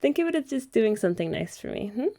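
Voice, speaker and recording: A woman's voice: medium pitch, sing-song, fast talker, gravelly, dark tone. A young woman chatting playfully to a partner in an ASMR roleplay, teasing and laughing often. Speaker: ASMR creator. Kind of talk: scripted ASMR roleplay as a girlfriend.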